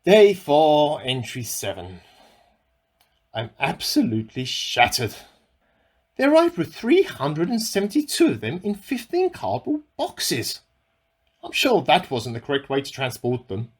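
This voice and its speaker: A man's voice: a little high, huge pitch swings, unhurried, heavily acted. A man playing an eccentric amateur scientist who earnestly records a self-important secret diary in a radio play. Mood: weary, musing. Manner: earnest, self-important, musing aloud in dated log entries.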